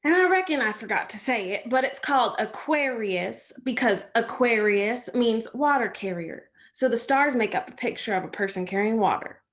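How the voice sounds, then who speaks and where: An American woman playing a gentle, chatty rural girl in a script reading, with folksy phrasing and a playful, comforting manner. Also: on the high side, animated, moderate pace, hardly any pauses, lightly acted. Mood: friendly.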